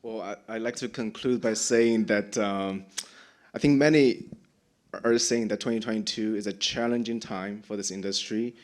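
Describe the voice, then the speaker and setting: A man's voice: on the low side, fairly even intonation, moderate pace, a little mellow. A man whose first language is Chinese, moderating a conference panel in a formal and polite way, with hesitant fillers.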